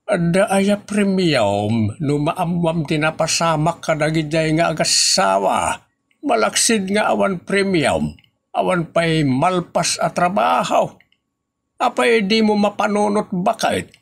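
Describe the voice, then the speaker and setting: Creaky Ilocano comedy gossip, exclamatory acted by a man. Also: high voice, expressive, slow pace, full character voice. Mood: defensive, irritated. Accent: Ilocano, northern Philippines. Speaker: actor in an Ilocano comedy audio drama. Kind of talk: comedy radio drama dialogue.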